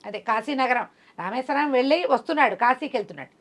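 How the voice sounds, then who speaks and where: A woman's voice: high voice, animated, quick pace, a bit bright. A woman tells a folk tale conversationally to a listener, voicing the characters' dialogue as she goes. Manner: acts out characters' dialogue within the narration. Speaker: storyteller.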